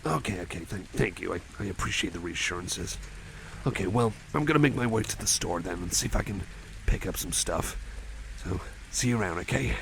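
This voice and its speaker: Guy doing a shy cyborg pal asmr thing, stammers a lot, sweet and reassuring, croaky low voice, bit of background ambience. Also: animated, brisk, fairly bright tone, full character voice, poor audio. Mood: affectionate, bashful. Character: shy, caring young male cyborg friend, awkward and earnest. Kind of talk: ASMR personal attention roleplay. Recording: faint background noise and ambience.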